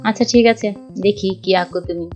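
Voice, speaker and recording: A woman's voice: mid-range voice, fast talker, natural voice. A woman voicing an exasperated young female tutor in an amateur cartoon, scolding and pleading with mischievous children. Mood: patient. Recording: dull lo-fi audio with music behind.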